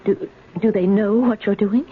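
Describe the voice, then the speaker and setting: An actress playing a timid but stubborn old spinster in a 1970s radio drama, hesitant and querulous. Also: female voice, medium pitch, steady tone, normal speed, lightly acted. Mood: hesitant, flustered. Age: older. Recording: muffled, hissy 1970s radio recording.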